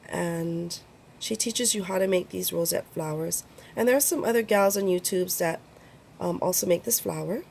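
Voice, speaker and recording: A woman's voice: medium pitch, creaky, darkish tone, slight background noise. A woman with a cold, sounding congested, chatting casually as she shows her craft swap items. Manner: chatty, rambling, many ums. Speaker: crafting YouTuber. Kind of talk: casual show-and-tell vlog.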